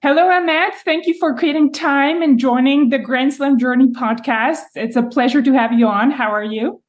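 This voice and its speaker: A woman hosting a podcast, reading a measured, reflective introduction and then welcoming her guest. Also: sits high. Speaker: podcast host.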